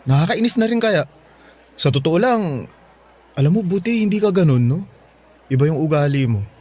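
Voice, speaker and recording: A man's voice: on the high side, animated, normal speed, darkish tone, slightly rough. A man acting as a blunt, exasperated young man in a radio drama, dropping English slang into his speech.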